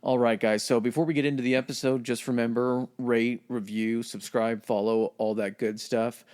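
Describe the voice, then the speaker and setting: A man's voice: mid-range voice, little pitch movement, normal speed, a little mellow, clear, resonant tone. Upbeat male podcast co-host. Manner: upbeat, addresses listeners directly, jokey asides. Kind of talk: casual podcast banter.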